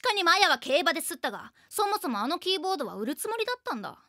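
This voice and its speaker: A woman's voice: extremely high pitch, big pitch swings, very fast, pushed and bright, dry recording, clean studio sound, full character voice. A woman playing a cocky, eccentric, bossy teenage girl in a radio comedy drama, making blunt, grandiose declarations. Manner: blunt, grandiose declarations, third-person self-reference.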